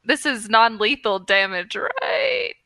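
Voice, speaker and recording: A woman's voice: mid-range voice, slow pace, pushed and bright, dry recording. A young woman chatting casually as a player in a tabletop game. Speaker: player and podcast host.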